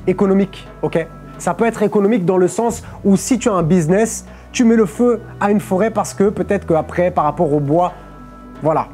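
A young male preacher with a mellow, relaxed tone, teaching his sermon patiently and asking his listeners "ok?" again and again. He speaks from a lectern on a studio stage with faint music playing behind him. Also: high voice. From Portugal. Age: young adult. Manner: explanatory, peppers phrases with "ok?" and "amen". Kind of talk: sermon on a live stream.

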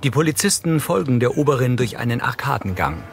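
A man's voice: mid-range voice, very animated, brisk, vocal fry, noisy background. A man reading neutral, scripted audio description for a film. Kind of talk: neutral scripted narration, present tense.

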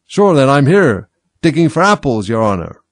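A man's voice: mid-range voice, big pitch swings, moderate pace, no room sound, voice pushed up. A man voicing a humble, dim male animal servant, deferential and plodding, in a storybook. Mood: servile, reluctant. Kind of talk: storybook character voice.

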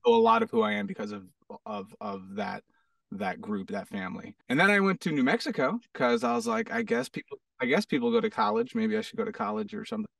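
A man from the United States chatting casually as a guest and telling stories in an animated way. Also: sits high, quick pace, creaky, no room sound, distant mic. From United States: Hawaii and Arizona. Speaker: podcast guest, actor and stunt performer. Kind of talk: casual chat, storytelling.

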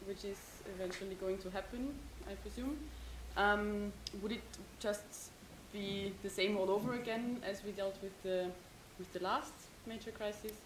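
A woman's voice: mid-range voice, steady tone, normal speed, few pauses. Young woman student asking a long question, lots of um's, echoey lecture hall with a faint hiss. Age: young adult. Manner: hesitant, many "um" fillers, thinking aloud. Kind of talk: audience question at a seminar. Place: large lecture hall, seated in the audience.

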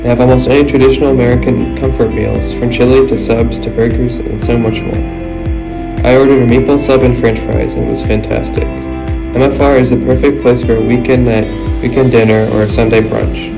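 A man's voice: mid-range voice, brisk, vocal fry, rough recording. A teenage boy giving a scripted voice-over restaurant review for a school news show, reading with small stumbles. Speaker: student reporter. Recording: voice-over with loud guitar music.

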